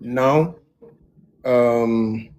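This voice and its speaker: A man's voice: medium pitch, very slow, a little hiss or hum. A man, a music producer, telling his story in a casual interview with a lot of restarts. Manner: relaxed, loose storytelling with many restarts.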